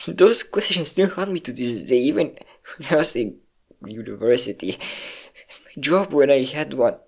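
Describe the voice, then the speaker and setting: A performer with a low voice playing an insecure, depressive young adult best friend in an intimate, halting audio roleplay monologue. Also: darkish tone, natural voice. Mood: tearful, pleading. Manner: emotional, confiding, halting.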